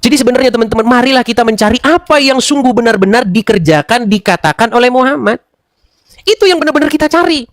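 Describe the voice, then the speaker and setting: A man's voice: very high voice, expressive, fast talker, no room sound, studio quality. A man arguing in an online debate, mocking and pressing with rhetorical questions. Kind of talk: heated debate and commentary. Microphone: online voice room.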